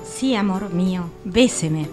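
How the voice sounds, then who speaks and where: A woman's voice: on the low side, lively intonation, slow pace. A woman with an Argentine accent playing a young fairy-tale maiden in a comic radio parody, in theatrical style. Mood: sweet, flattered. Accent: Argentine, Rioplatense. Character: sweet, flattered young fairy-tale maiden, later grumbling. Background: music.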